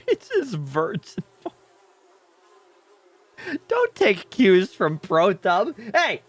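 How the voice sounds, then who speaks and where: Male streamer, animated pitch, crude jokey banter, mic running a little hot. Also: very slow. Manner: joking, swearing, playful banter with chat. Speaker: game streamer. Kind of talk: casual live gameplay chat. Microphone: slightly overdriven streaming mic.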